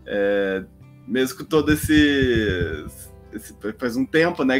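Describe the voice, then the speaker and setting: A young man from Brazil with a Minas Gerais accent, co-hosting a podcast in a relaxed, rambling way, often restarting his sentences. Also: medium pitch. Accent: Minas Gerais Portuguese. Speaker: podcast co-host. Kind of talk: relaxed podcast chat and sign-off.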